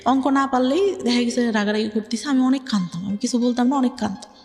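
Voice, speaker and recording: Soft, hesitant young Bangladeshi woman. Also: a little high, fast talker, in a large hall, faint music behind. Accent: Bangladeshi Bengali. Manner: hesitant, soft, many filler words. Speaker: interview guest. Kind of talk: personal life story in conversation.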